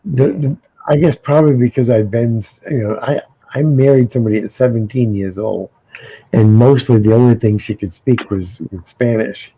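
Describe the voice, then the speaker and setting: Man, middle-aged, American, mellow dark tone, rambling casual co-host. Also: mid-range voice, moderate pace, breathy edge, no echo, rough recording. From United States. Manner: rambling, repeats words often. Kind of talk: casual panel chat.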